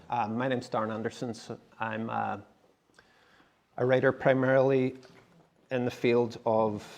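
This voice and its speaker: A man, a writer giving a conversational talk at a symposium. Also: mid-range voice. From Derry, Northern Ireland. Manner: conversational, explanatory, occasional restarts. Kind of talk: casual presentation. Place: symposium talk in a gallery space.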